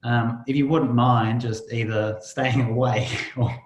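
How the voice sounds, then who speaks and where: A man's voice: mid-range voice, fairly even intonation, a little slow. Male New podcast host, roomy sound. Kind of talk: casual conversational interview.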